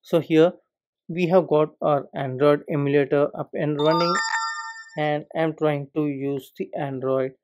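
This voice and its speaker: A man's voice: fairly high pitch, a little slow, muffled recording, noisy background. A man narrating a screen-recorded coding tutorial, walking through each step and leaning often on the word "particular". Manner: steady step-by-step explaining, repeats "particular".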